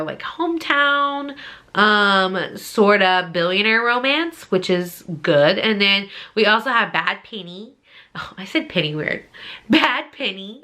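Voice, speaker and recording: A woman's voice: medium pitch, sharp tone. A woman talking casually as a book reviewer on her vlog, informal and gushing, with emphatic repetition. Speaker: book reviewer, channel host.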